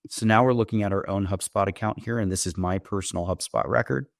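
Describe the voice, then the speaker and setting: A man's voice: fairly low pitch, fast, gravelly, no room sound, clean studio sound. A man giving a conversational product demo, explaining things step by step with the odd check question. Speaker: company founder giving a software demo.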